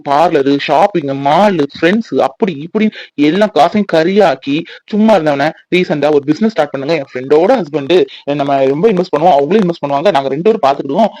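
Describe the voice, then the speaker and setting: A man's voice: on the high side, a little mellow, no room sound, lightly acted, poor audio. A man playing a bitter, exasperated husband in a family drama, ranting and accusing. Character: bitter, exasperated husband scolding his wife.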